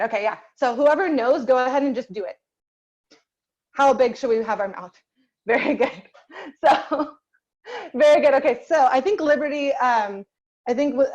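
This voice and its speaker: A fairly high-pitched young woman teaching singing over a video call, warm in her encouragement and chatty, with plenty of "um" and "like". The sound is dull and lo-fi, as if through a laptop connection. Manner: encouraging, chatty, many fillers. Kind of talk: online group singing class.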